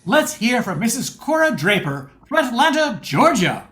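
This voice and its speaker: A woman's voice: on the high side, sing-song, normal speed, fairly bright tone. A woman playing a folksy radio announcer, delivering scripted narration and sponsor copy in old-time style. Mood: cheery selling.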